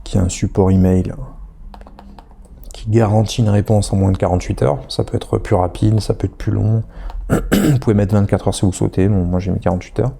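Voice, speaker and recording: Male instructor with a cold, very dark gravelly voice, rambling tutorial delivery. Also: lowish voice, steady tone, fairly quick. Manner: chatty, hesitant, many fillers. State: has a head cold. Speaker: online course instructor. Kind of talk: screen-recorded tutorial walkthrough.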